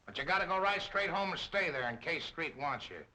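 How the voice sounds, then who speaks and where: An actor playing a brisk, dutiful policeman in a 1930s crime film, passing on orders in plain, firm, slangy speech. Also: fairly high pitch, expressive, pushed and bright. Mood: firm, official. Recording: noisy 1930s film soundtrack.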